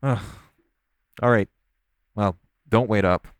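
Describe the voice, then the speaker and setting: A man playing a smug, hungry, flirtatious vampire in an audio roleplay, speaking with teasing theatrical flair in a gravelly voice. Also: fairly low pitch, takes it slowly, a little hiss or hum. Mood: sulky. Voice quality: gravelly, creaky voice. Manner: teasing, theatrical, swearing, sighing. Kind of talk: audio roleplay monologue to the listener.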